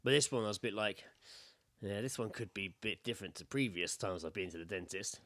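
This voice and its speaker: Low-voiced British man, casual podcast storyteller. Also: quick pace. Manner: sweary, animated storyteller who acts out quotes. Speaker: podcast co-host. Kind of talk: casual chat, telling anecdotes.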